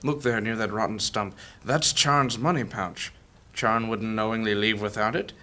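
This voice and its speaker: A man playing an elderly, courteous and reasonable mage in a fantasy audio drama, speaking formally and with measured argument. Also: on the low side, steady tone, sharp tone. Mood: reasoning.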